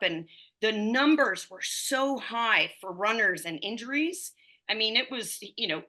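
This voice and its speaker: A woman in her fifties talks as a podcast guest, winding through her story with plenty of fillers and restarts. Her voice has a bright, forward edge, sitting at an ordinary pitch for a woman. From United States. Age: 50s. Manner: rambling storytelling with many fillers. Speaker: running coach and physical therapist. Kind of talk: conversational podcast interview.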